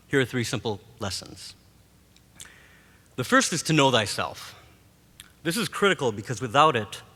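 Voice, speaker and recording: A man from Montreal whose first language is Greek, giving a formal graduation address as a professor in a measured, rhetorical way. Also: medium pitch, a bit bright. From Montreal, Canada. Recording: long reverb of a large hall.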